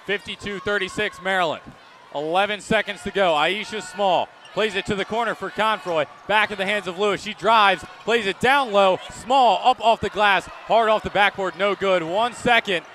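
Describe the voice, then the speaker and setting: A male radio sportscaster calls live play-by-play in a high, forceful voice. He projects energetically, often pushing toward a shout, with faint game noise around him. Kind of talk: live play-by-play commentary of college games. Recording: clear live sports venue background noise.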